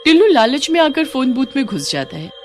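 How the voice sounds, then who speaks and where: Animated woman narrating a horror story. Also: high voice, brisk, faint music behind.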